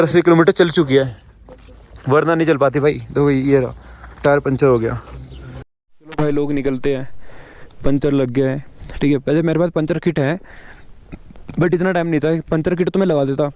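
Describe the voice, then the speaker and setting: A young man vlogging on a trip, his throat a little hoarse, talking bluntly and chattily straight to his viewers. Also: a little high, fairly even intonation, normal speed, pauses often, a little mellow. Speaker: travel vlogger. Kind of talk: casual travel vlog talk.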